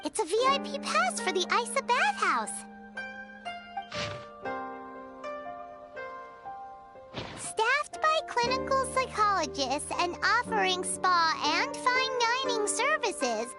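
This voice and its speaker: A woman voicing a small, excitable, food-loving childlike fairy companion in a video game, chirpy and exclamatory. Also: very high voice, unhurried, hardly any pauses, over loud music, heavily acted. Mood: reading aloud. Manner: chirpy, exclamatory, speaks of herself in third person. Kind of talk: video game character dialogue.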